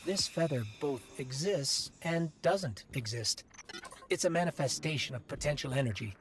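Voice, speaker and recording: Higher-voiced, studious game expert. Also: male voice, animated, moderate pace, a bit bright, slight background noise. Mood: intrigued. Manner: precise, explanatory. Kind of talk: cinematic video game dialogue.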